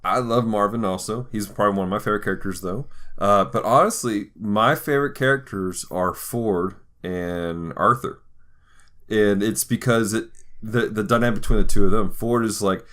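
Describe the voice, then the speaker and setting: Low-voiced man chatting on a podcast. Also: normal speed. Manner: animated retelling, slipping into quoted voices. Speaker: podcast host. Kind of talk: casual pop-culture chat with acted-out quotes.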